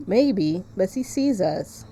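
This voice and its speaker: A woman with a soft-edged, mellow voice streams a narrative game. She moves between casual commentary and reading the text aloud, at a middling pitch for a woman. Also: lively intonation, slow. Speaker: streamer playing a visual novel.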